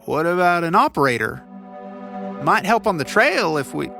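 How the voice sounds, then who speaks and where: A man's voice: a little high, very animated, normal speed, vocal fry, sharp tone, soft background music, voice pushed up, heavily acted. A man voicing a wary, scrappy bug catcher in an audio story, with an informal, teasing manner. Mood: wary, bargaining. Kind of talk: storybook character voice in audio fiction.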